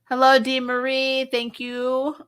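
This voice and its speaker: A woman's voice: high voice, monotone, slow pace, fairly bright tone, clear, resonant tone. A woman chatting casually as host of a recap stream, blunt, sarcastic and emphatic, swearing freely and sounding exasperated. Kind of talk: casual opinionated livestream review chat.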